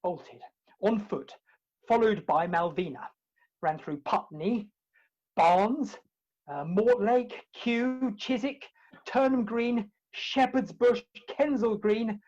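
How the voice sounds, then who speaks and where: A woman playing an exhausted, fleeing gentleman in a comic farce, out of breath and speaking in clipped bursts. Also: a little high, slow pace, muffled recording. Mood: breathless, exhausted. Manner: clipped, breathless list-like storytelling. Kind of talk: comic stage farce acting.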